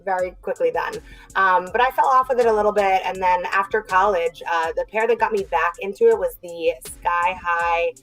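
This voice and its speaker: A woman's voice: fairly low pitch, moderate pace, fairly bright tone, soft background music, poor audio. A young woman from New Jersey, a podcast guest chatting casually and upbeat, acting out little quotes as she talks. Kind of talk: casual chat.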